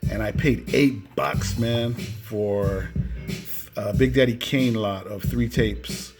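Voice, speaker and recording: A man's voice: fairly low pitch, slow. A man hosting a casual show-and-tell of a collection, enthusiastic and often punctuating his phrases with "man". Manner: enthusiastic, repeatedly tacks "man" onto phrases. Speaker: video host showing cassette collection. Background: music with guitar.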